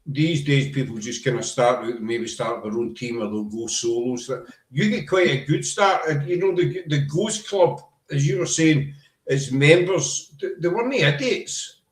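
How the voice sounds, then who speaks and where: A man's voice: medium pitch, normal speed. A man from Glasgow with a Scottish accent, hosting a casual chat and talking in a chatty, rambling, emphatic way. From Scotland. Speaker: podcast host. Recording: roomy echo.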